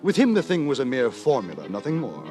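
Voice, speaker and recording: An actor playing a fussy, pompous gentleman narrator in an old-time radio drama, speaking in florid, theatrically indignant phrases. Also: medium pitch, huge pitch swings, fast. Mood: aggrieved lamenting. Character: fussy, pompous gentleman narrator lamenting his wayward friend. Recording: old radio recording with loud music behind.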